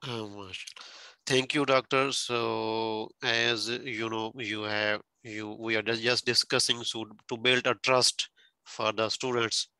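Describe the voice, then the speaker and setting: A man hosting an informal online interview as a tutor, talking haltingly with many fillers. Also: lowish voice, level tone, bright, forceful tone, clean tone, no room sound. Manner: hesitant, full of "you know" fillers. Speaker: host and OET tutor.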